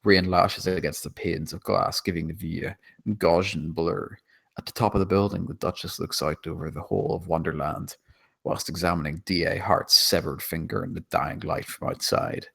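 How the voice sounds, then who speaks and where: A man with a very low voice narrates a screenplay. He reads out scene descriptions and stage directions as scripted narration. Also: steady tone, moderate pace, creaky, fairly bright tone, husky. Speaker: narrator of screenplay table read.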